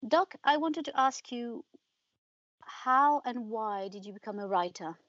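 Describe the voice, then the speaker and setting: A woman's voice: a little high, lively intonation, a little slow, a bit bright, no echo. A woman hosting a podcast, reading introductions and putting questions to a guest. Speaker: podcast host, interviewer. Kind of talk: scripted introduction and interview. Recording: dull, dry, band-limited sound.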